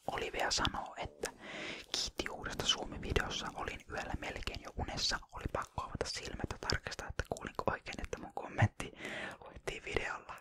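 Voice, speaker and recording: An ASMR YouTuber from Finland whispering close to the microphone while casually rambling through viewer comments. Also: sharp tone. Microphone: close ASMR microphone, bumped at times.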